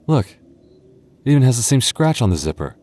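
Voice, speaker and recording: A man voicing an affectionate, teasing young goth boyfriend in an ASMR roleplay, talking softly and intimately. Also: medium pitch, very animated, fairly quick, natural voice. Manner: soft, intimate, playfully teasing. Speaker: voice actor.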